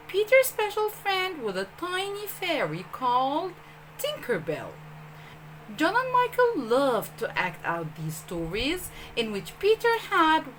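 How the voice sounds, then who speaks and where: A woman telling a children's story as host, expressive and speaking directly to young listeners. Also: on the high side, slight background noise, rough recording.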